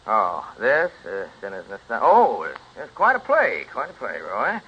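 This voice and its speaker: An actor playing a bossy, scatterbrained movie mogul, pompous and blustering in 1930s radio comedy style. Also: male voice, lowish voice, wildly swooping pitch, moderate pace, husky, full character voice. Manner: pompous, blustering, barking orders. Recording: dull 1930s radio recording with music.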